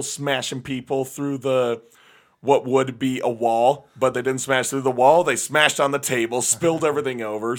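A young man with a slightly raspy voice chatting casually as a podcast host, laughing often and rambling through fillers. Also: medium pitch. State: slightly raspy voice after a gig. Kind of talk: casual chat.

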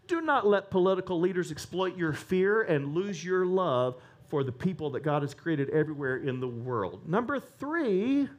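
A man preaching a sermon, animated and rising to emphatic peaks. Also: sits high, normal speed, hardly any pauses, muffled recording.

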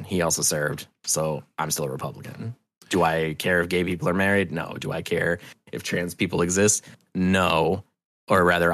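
A man's voice: deep voice, a bit bright, no echo. A man speaking as a podcast guest in a casual, musing, digressive way. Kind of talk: casual conversational chat.

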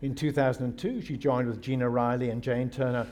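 A man's voice: medium pitch, steady tone, a little fast, darkish tone. A man of Scottish descent hosting a talk, introducing his guest and then asking her questions, with light laughs. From Scotland. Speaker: host.

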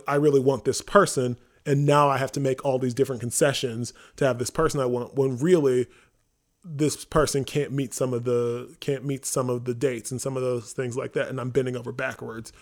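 A man talking casually on a podcast as one of the hosts, informal and animated, often acting out quotes. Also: mid-range voice. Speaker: theater company member and podcast host.

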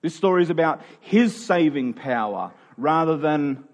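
A man giving a conversational sermon as a pastor, in a halting way, rephrasing and repeating phrases for emphasis. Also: on the high side, expressive. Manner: halting, thinking aloud, with rephrasing and repetition for emphasis. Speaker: pastor, preacher.

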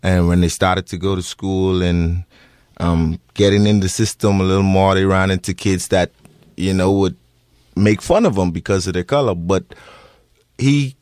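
A man in his thirties with a Bahamian accent, answering interview questions earnestly with many pauses and restarts. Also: sits low, steady tone, darkish tone, dry recording, clean studio sound. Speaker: interviewee, parent.

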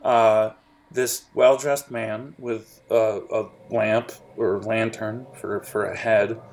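A man narrating as game master of a tabletop role-playing game, describing scenes in a halting, lively way. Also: fairly low pitch, poor audio.

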